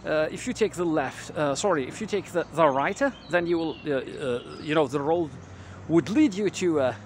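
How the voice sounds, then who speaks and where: A man in his thirties guiding a casual walking tour on a quiet residential street, talking with many fillers and restarts into a handheld camera mic. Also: on the high side, lively intonation, moderate pace. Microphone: handheld camera mic while walking. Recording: clear outdoor street noise.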